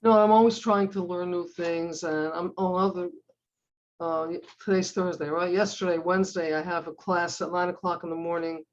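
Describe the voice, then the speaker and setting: An older woman from Cleveland whose first language was Hungarian, chatting in a relaxed, meandering way. She circles back and restarts her sentences as she recalls family history. The audio sounds dull and lo-fi. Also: on the low side, normal speed. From United States (Cleveland, Ohio). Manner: rambling, storytelling, many restarts. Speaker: drawing class participant. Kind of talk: casual chat.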